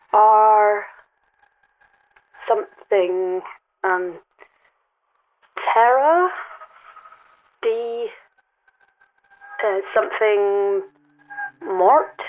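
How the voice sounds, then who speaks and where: A woman's voice: medium pitch, very slow, long pauses. An actress playing a troubled, hesitant woman climber talking naturally over a phone line in an audio drama. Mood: tentative, curious. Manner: hesitant, conversational. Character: weary, troubled young woman climber calling a friend. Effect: telephone filter. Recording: tinny phone line with music.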